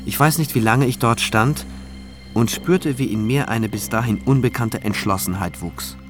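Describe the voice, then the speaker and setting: A man's voice: mid-range voice, moderate pace, lightly acted. An actor playing a resolute, introspective prosecutor, narrating his investigation in the first person for a radio drama. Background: music.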